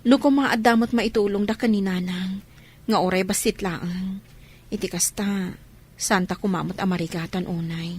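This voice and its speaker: A woman playing a dutiful, aggrieved grown daughter in a radio drama, earnest and husky, with a Filipino accent. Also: mid-range voice, fairly even intonation, moderate pace, close to an everyday voice. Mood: apologetic, aggrieved. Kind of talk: Ilocano radio drama acting.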